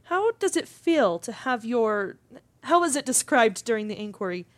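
A woman's voice: fairly high pitch, big pitch swings, moderate pace, dry recording. A woman playing a polished, effusive and probing talk show host in a scripted drama interview.

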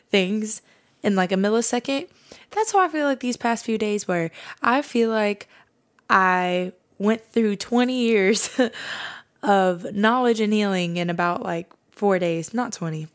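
Young woman, raspy hoarse voice, podcast host, rambling candid chat, mid pitch. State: hoarse from screaming and late nights. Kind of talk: casual faith podcast monologue.

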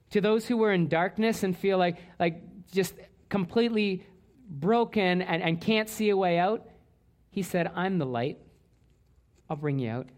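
Earnest male pastor preaching. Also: high-pitched. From Canada. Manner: earnest, repeats words when restarting. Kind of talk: sermon. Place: church.

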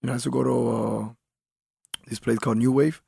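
A fighter in his thirties from Colombia talks in an easygoing, rambling way, leaning on lots of "like"s. His voice is heavily gravelly and husky. The sound is dry and close. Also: medium pitch, fairly even intonation, a little fast, mellow, dry recording. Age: 30s. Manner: laid-back, rambling with many "like"s. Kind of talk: casual interview talk.

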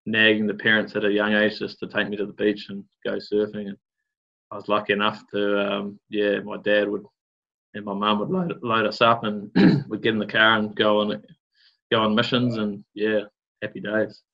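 Laid-back New Zealand man, low flat voice. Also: moderate pace, gravelly, muffled recording. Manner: laid-back, rambling, many fillers and slang. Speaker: guest, surf business founder. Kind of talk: casual interview chat.

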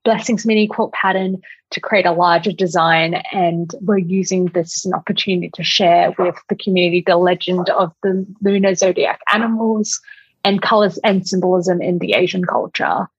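A woman's voice: lowish voice, fairly even intonation, normal speed, slightly rough. A woman with an Australian accent chatting casually as an interview guest, with plenty of "like" and "you know". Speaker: podcast guest, quilt designer. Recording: echoey room, slightly muffled audio.

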